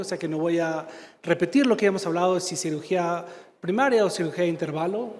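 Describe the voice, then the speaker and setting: A man's voice: high voice, slight background noise. A man from Mexico giving a medical conference talk, fluent but searching for words and filling gaps with "este". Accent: slight Mexican Spanish. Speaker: conference speaker, surgeon. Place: large conference hall. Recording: long hall reverb.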